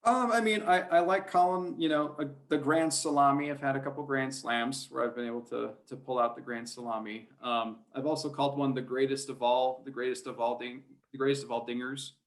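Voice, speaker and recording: A man's voice: medium pitch, fairly even intonation, a little fast, few pauses. A man speaking as a guest sports announcer in a casual podcast interview, rambling and hesitant as he thinks aloud.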